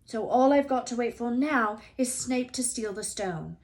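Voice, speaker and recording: A woman voicing an anxious, excitable young schoolboy wizard in a storybook, urgent and questioning. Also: a little high, measured pace, pitched above the performer's own voice. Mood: feverish, agitated.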